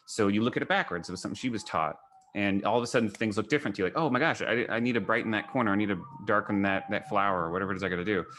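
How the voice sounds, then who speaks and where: A man's voice: on the low side, a bit bright. An American man from Kentucky speaking informally on a video call as an artist, in a rambling, anecdotal way that often quotes people. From Kentucky, United States. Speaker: photographer and artist giving a gallery talk. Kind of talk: informal online talk, answering questions.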